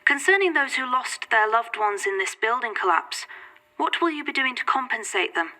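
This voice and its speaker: A slightly high, bright voice as a press reporter. She is serious and pointed, speaking formally as she questions someone in an audio drama. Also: expressive, moderate pace.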